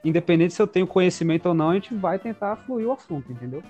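A man's voice: high voice, lively intonation, fast, darkish tone, faint music behind. A man hosting a casual podcast interview, talking in a loose, hesitant way with many restarts. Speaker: podcast host.

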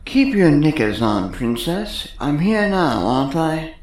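A woman's voice: deep voice, big pitch swings, unhurried, a little mellow, slight background noise. A woman playing a cocky, sarcastic male electrical technician in a sci-fi comedy audio drama, smug and wordy. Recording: roomy echo.

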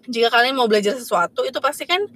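A woman's voice: fairly high pitch, rapid-fire, a bit bright, no echo. Young woman explaining, dry sound. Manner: explains points to listeners, mixes English phrases. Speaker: podcast co-host. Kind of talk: casual chat.

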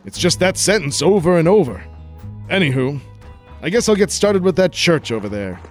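A man's voice: fairly high pitch, sing-song, normal speed, soft background music. A man playing a brash, wisecracking American adventurer in a 1940s-style radio play, folksy and sarcastic. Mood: exasperated.

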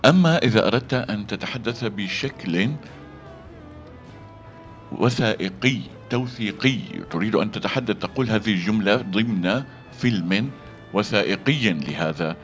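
A man teaching a voice-over lesson, halting with fillers and restarts between read-aloud demonstrations. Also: lowish voice, expressive. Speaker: voice acting coach. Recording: dull lo-fi sound with faint music.

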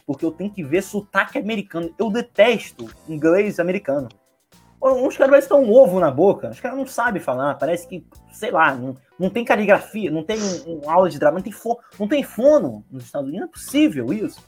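A man's voice: high-pitched, fast, breathy edge, faint music behind. A man with a Brazilian Portuguese accent, co-hosting a casual chat and talking animatedly. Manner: animated, hums and imitates theme songs. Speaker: podcast co-host. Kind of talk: casual chat about cartoons.

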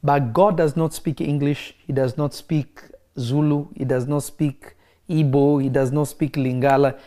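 A man who grew up in Kenya, preaching in a relaxed interview and driving his points home by repeating key phrases. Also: fairly high pitch, unhurried. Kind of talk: conversational preaching.

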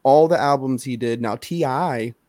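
A man's voice: mid-range voice, moderate pace, a little mellow, no room sound, studio quality. Man making his case in podcast chat. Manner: emphatic, repeats points, asks "you know what I'm saying?". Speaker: podcast co-host. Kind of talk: casual chat.

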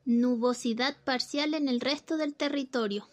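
A woman's voice: high voice, normal speed, close to an everyday voice. A woman in a student radio play acting a distracted, teasing housewife, with an exaggerated, sing-song delivery. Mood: chatty. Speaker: radio drama actor.

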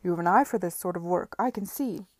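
Brief: female reader doing a frazzled, excited man, loud and hurried, mellow tone. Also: on the low side, no room sound, clean studio sound, natural voice. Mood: eager. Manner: loud, eager, rushed. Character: flustered excitable gentleman builder, scattered but eager.

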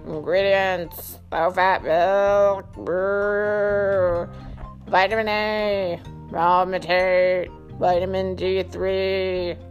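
A woman voicing a slow, droning cow reading out a label with drawn-out lowing vowels. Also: highs cut off.

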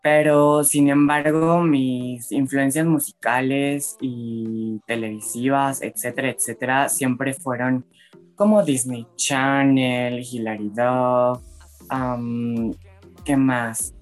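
A young adult singer from Mexico City with a Mexican accent, answering questions in a relaxed, hesitant way with frequent fillers. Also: mid-range voice, soft background music. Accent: Mexican Spanish. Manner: slow and relaxed, laughs often, hesitant fillers. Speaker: singer being interviewed.

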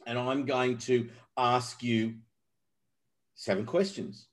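A man hosting a casual podcast interview, excitable and jokey, often laughing. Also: medium pitch, slow. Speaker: podcast host.

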